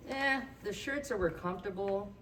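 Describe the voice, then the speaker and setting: A woman playing an easygoing, deadpan male football fan in a comedy table read. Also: mid-range voice, moderate pace, recorded from a distance, lightly acted. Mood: wistful. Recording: noisy live room, off mic.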